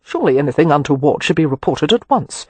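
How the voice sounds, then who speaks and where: A brusque, exasperated young lord, as a narrator reads him, curt and mocking toward everyone. The voice is slightly husky and recorded dry and close. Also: medium pitch, big pitch swings, quick pace, darkish tone, no room sound. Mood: impatient. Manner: impatient, sardonic. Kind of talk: audiobook character voice.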